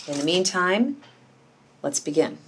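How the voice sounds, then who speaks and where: A woman's voice: fairly low pitch, sing-song, a little slow, fairly bright tone. A woman playing a skeptical, businesslike team leader, firm and no-nonsense, in a meeting recording.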